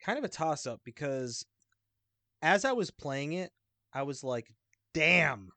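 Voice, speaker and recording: A man's voice: a little high, sing-song, a little slow, pushed and bright, no room sound. A man on a podcast panel, talking informally in a loose, rambling way. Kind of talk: casual chat.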